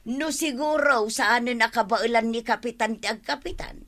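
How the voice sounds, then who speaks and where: A woman with an Ilocano Filipino accent acting an excitable, nosy village woman in a radio comedy-drama. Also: fairly high pitch, moderate pace. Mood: nosy, prodding.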